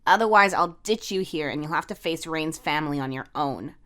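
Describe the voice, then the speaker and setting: A woman's voice: sits low, animated, brisk, a bit bright. Woman voicing a whiny, easily embarrassed teenage girl. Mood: grumbling, nervous. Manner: whiny, grumbling.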